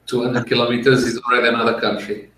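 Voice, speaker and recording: Joking host, roomy sound. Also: male voice, mid-range voice, level tone, fast talker, sharp tone, slight background noise. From Portugal. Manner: chatty and joking, laughs between remarks. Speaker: interview host. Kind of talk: casual interview over a call. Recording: roomy, from a distance off the mic.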